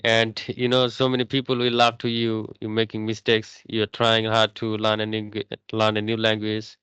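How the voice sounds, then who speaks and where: Man, smooth and close. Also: lowish voice, monotone, a bit bright, no room sound. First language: Bengali. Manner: halting, many restarts and fillers. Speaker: English learner. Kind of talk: casual English practice chat.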